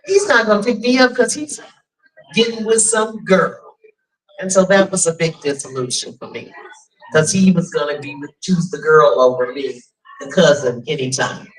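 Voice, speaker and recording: A woman emceeing and telling stories at a live event, animated and chatty. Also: low voice, normal speed. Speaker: emcee and storyteller. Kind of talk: live personal storytelling and hosting. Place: restaurant event room. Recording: distant mic in a live venue.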